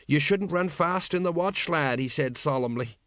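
A man's voice: fairly high pitch, normal speed, a bit bright, dry recording, studio quality, pitched above the performer's own voice, lightly acted. A man voicing an older male city watch sergeant who talks sadly and rambles through stories. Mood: mournful. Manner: sad, rambling, tells stories.

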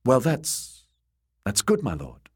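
A man's voice: mid-range voice, wildly swooping pitch, slow, slightly rough, voice pushed up, heavily acted. A man voicing a timid, earnest young male scholar in an audiobook, hesitant and deferential in manner. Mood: nervous, eager. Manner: hesitant, nervous, stressing his words.

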